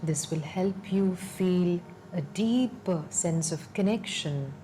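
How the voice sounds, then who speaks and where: A woman leading a guided meditation in a calm, soothing, measured way, leaving long pauses between phrases. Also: on the low side, slight background noise. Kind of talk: slow guided meditation narration. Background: background music.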